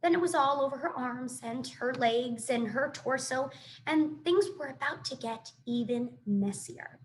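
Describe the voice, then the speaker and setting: A woman reading a children's book aloud in an expressive, animated storytelling manner. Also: high voice, a little slow, rough recording. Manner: animated, dramatic sound effects and asides.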